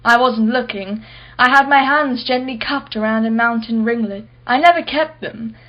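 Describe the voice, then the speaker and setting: Woman acting as a haughty, high-voiced art collector. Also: moderate pace, dull audio, lightly acted. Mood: nostalgic, proud. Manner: arch, articulate, sardonic. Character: haughty, wealthy, privileged female art collector, witty and defensive. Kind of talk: radio play acting.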